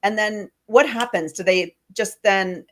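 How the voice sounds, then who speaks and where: A woman's voice: mid-range voice, measured pace. A woman hosting an interview, chatting eagerly and laughing often, with a cold. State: has a cold. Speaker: podcast host. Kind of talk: casual interview chat.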